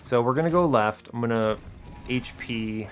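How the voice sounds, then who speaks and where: A man's voice: medium pitch, moderate pace. A man hosting a gaming video, thinking aloud through his strategy in casual, wry commentary made of short, colloquial remarks. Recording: music behind the voice. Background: game music.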